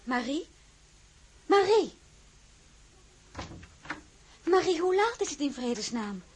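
An actress playing a modest but stubborn young crown princess in an audio drama, halting when flustered. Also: female voice, sits high, very animated, darkish tone, a little hiss or hum. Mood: startled, amazed. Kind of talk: Dutch audio drama acting with narration.

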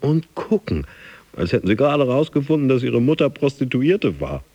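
An actor playing a driven, boastful gym fanatic in a radio drama monologue, intense and theatrical. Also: male voice, medium pitch, sing-song, normal speed, darkish tone, husky, no echo, lo-fi sound. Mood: scornful. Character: driven, boastful male gym fanatic dreaming of his boss and America.

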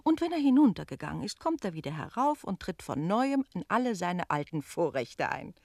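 A woman's voice: fairly low pitch, sing-song, fairly quick, a little mellow, no echo, clean studio sound. A woman playing a chatty, authoritative innkeeper in a radio play, speaking firmly in literary dialogue. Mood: firm, conciliatory.

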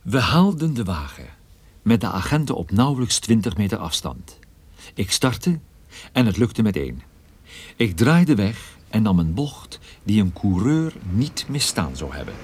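Detective narrator, low voice, expressive, hard-boiled, radio drama, close dry sound. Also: male voice, unhurried, breathy edge, dry recording, full character voice. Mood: matter-of-fact. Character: hard-boiled male detective recounting his own case. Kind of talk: first-person detective story narration.

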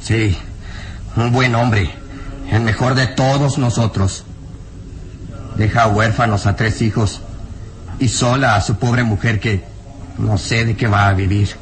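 A scared grieving burly villager, halting and overwrought, old radio serial, bit of noise. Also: male voice, fairly low pitch, fairly even intonation, poor audio. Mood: grieving, tearful. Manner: anguished, emphatic, halting. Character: frightened, grieving burly male peasant villager, bitter. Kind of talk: melodramatic radio drama acting.

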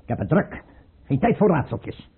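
An actor playing a paranoid, scornful tsar in a radio play, imperious and abrupt, with a husky, rough voice. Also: male voice, on the high side, very animated, moderate pace, heavily acted. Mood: scornful, menacing. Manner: imperious, abrupt, mocking, swinging from mutter to outburst. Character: paranoid tyrant tsar, erratic, scornful, suspicious father. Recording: muffled, tinny old radio play recording.

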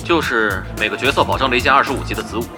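Guy playing guild officer in audio drama, businesslike, fast talker, music under him. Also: medium pitch, close to an everyday voice. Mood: brisk, businesslike. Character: businesslike male guild officer in online game.

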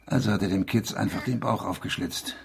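A man's voice: on the low side, brisk, vocal fry, a crowd in the background. An actor playing a troubled, bitter village man, brooding and intense, in a German radio crime drama. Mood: grim, agitated. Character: troubled, bitter rural Frenchman, haunted by village violence.

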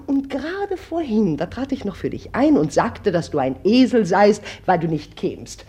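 A woman's voice: mid-range voice, sing-song, normal speed, warm tone, breathy edge. An actress playing a domineering middle-aged mother who brusquely scolds and commands, in 1950s radio play style. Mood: scolding. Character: bossy domineering mother of grown daughters.

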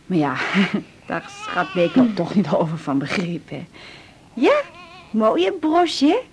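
A woman's voice: lowish voice, very animated, takes it slowly, darkish tone, a little hiss or hum, heavily acted. An actress playing a doting, inquisitive grandmother in a radio drama, cooing and chatty. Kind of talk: 1980s radio drama acting.

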